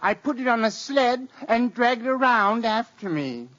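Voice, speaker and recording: A woman's voice: on the high side, expressive, moderate pace, a bit bright. A woman voicing a cheerful, eccentric, childlike mail carrier with a giggly delivery in an old radio comedy. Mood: gleeful. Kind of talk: 1940s-50s radio comedy acting. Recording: muffled, hissy old radio broadcast.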